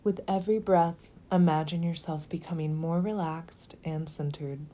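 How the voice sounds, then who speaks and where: A woman leading a guided meditation in a slow, gentle, soothing manner, with phrases sometimes softening toward a whisper. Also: fairly low pitch, steady tone.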